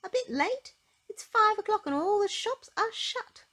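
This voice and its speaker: A woman voicing a brisk, exacting, tidy little lady in a children's storybook, with emphatic delivery. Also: very high-pitched, expressive, pushed and bright, pitched above the performer's own voice, full character voice. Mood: indignant.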